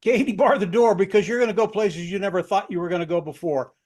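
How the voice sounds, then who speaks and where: Male panelist with a notably high voice, folksy and enthusiastic, repeating himself to make the point. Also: quick pace, a little mellow.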